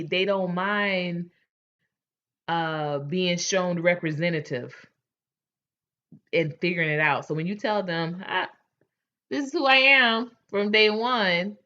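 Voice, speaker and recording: A woman's voice: medium pitch, fairly bright tone, highs cut off. A woman hosting a casual chat, candid and chatty, often restarting her sentences. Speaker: host.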